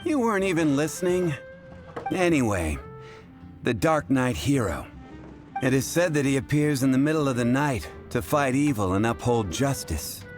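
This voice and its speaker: A man playing a chatty, easygoing tavern bartender in a video game, telling things in a relaxed, wry way. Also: mid-range voice, moderate pace, soft background music, natural voice. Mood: wry, amused. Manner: relaxed, wry, conversational storytelling. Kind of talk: video game character acting.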